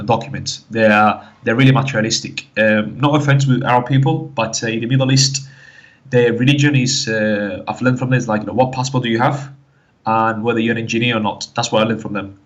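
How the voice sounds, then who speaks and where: Halting Spanish-accented man, lowish voice. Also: steady tone, highs cut off. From Canary Islands, Spain. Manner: hesitant, halting, with constant "like, you know". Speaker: guest. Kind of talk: casual interview talk.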